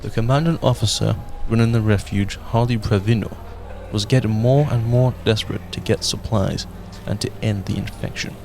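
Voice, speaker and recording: A young man narrating a radio drama in a measured storytelling way. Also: fairly low pitch, fairly even intonation, vocal fry, background noise. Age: young adult. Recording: narration over loud music.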